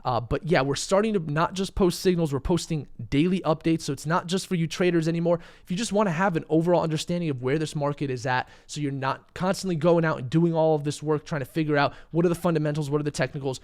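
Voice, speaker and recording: Slightly hoarse man hosting a crypto stream. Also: a little high, steady tone, fast, hardly any pauses, darkish tone. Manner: casual, chatty, uses fillers, reads chat questions. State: losing his voice, a bit sick. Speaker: crypto trading channel host. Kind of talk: live stream market commentary.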